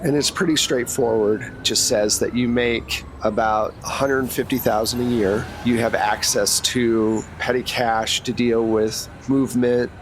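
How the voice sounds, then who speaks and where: A man's voice: mid-range voice, steady tone, unhurried, few pauses, slight background noise. A man narrating a tabletop game as game master, improvising in a casual, conversational way.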